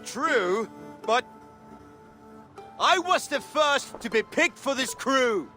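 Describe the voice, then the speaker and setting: A man's voice: very high voice, animated, slow, bright, forceful tone, soft background music, exaggerated voice. A man playing a boastful, sneering Viking navigator in a video game, with a pompous, taunting delivery. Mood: smug. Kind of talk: dramatic video game acting.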